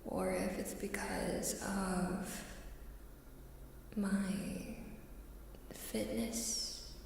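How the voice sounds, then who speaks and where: A woman streamer chatting softly in ASMR style, often slipping into a breathy whisper, hesitant and sounding unwell. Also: mid-range voice, level tone. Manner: slow, hesitant, halting, apologetic. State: unwell, queasy. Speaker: live streamer. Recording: very echoey, distant, faint background noise.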